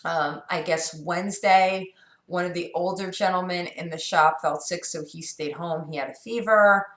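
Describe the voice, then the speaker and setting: A woman's voice: on the low side, fairly even intonation, normal speed. A middle-aged woman from New York with a sore, scratchy throat, talking candidly and rambling in a casual vlog. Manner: rambling, candid, frequent fillers and restarts. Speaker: vlogger. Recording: echoey room.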